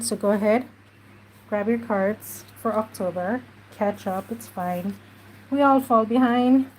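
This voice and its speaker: A woman talks slowly and unhurriedly, a little stuffy in the nose. She chats casually as she works through a craft project, with faint room ambience behind her. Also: mid-range voice, darkish tone, a little hiss or hum, poor audio. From Jamaica. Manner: chatty, unhurried, talks while working. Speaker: craft journaling host. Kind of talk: casual craft tutorial chat.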